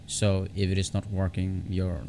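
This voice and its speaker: A low-pitched man walks through a software tutorial off the cuff. His voice has a gravelly creak, and he halts and repeats phrases as he goes. There is clear background noise on the recording. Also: completely flat delivery. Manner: halting, repetitive, often restarting phrases. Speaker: tutorial host.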